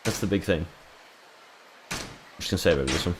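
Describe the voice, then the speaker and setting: A man's voice: low voice, a little fast, noisy background. A man streaming a video game and giving casual, rambling commentary, with many fillers and restarts. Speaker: gaming streamer. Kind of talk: casual live-stream commentary over gameplay.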